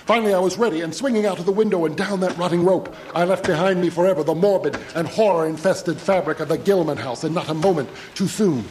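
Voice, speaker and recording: An actor playing an anxious, haunted traveller, narrating a horror radio drama in literary, ominous phrases. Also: male voice, fairly high pitch, darkish tone, natural voice. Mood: urgent terror. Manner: literary, measured, ominous, urgent under stress. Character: anxious, haunted traveller recounting his ordeal.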